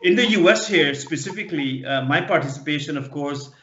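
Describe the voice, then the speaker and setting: A man in his fifties, a guest on a panel, recalling his own past in a halting, earnest way and often restarting words. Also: a little high, fairly bright tone. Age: 50s. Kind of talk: personal recollection in a panel discussion. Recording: dull, echoey remote audio.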